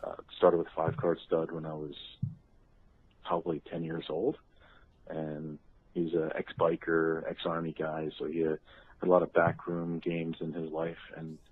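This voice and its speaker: A man's voice: a bass voice, monotone, unhurried, breathy edge. A man leaving a personal voicemail message over the phone. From Atlantic City, United States. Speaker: voicemail caller. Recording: muffled lo-fi voicemail phone recording.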